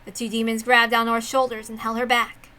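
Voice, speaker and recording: A woman's voice: a little high, flat delivery, fairly quick, bright, forceful tone. A woman narrating a visual novel as a dramatic story reading. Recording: music behind the voice. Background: background music.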